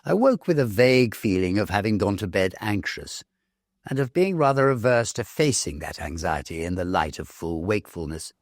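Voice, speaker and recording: Very deep, animated British male storyteller. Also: moderate pace, dry recording, studio quality. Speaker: storyteller reading a classic ghost story. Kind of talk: expressive dramatic audiobook narration.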